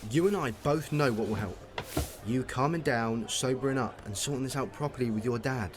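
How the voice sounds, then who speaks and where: A man's voice: mid-range voice, a little fast, noisy background, natural voice. An actor playing a loyal young man who cares about his friend, in a radio soap. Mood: gently urging.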